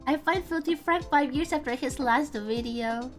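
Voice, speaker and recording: High-voiced Indonesian woman streamer. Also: moderate pace, soft background music. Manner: chatty, reads viewer comments aloud, thinks out loud. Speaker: VTuber streamer. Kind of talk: casual livestream chat.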